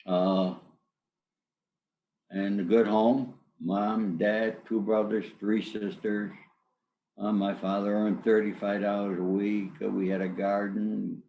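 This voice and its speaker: Deep, mellow older American man. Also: flat delivery, slow. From upstate New York, USA. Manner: plain-spoken, colloquial. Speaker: interview guest, former mobster. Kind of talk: conversational personal storytelling. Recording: echoey room with faint noise.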